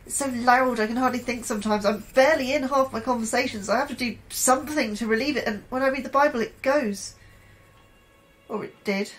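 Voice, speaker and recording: An actress playing an anxious, troubled woman scientist in an audio drama, confiding her fears in a hesitant, halting way. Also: on the high side, lightly acted, poor audio. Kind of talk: audio drama acting, diary-like monologue and dialogue.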